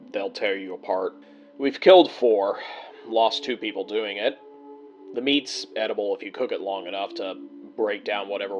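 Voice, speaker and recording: A worn-down bunker survivor acted by a man dictates a personal log in an audio drama. His low voice is grim and measured, in terse phrases broken by halting pauses, over faint music. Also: muffled recording, lightly acted.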